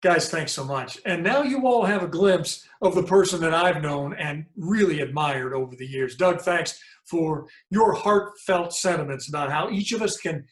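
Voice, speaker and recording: Male event host, upbeat, scripted, slightly high voice, echoey room. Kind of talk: hosting a virtual fundraiser. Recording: roomy echo.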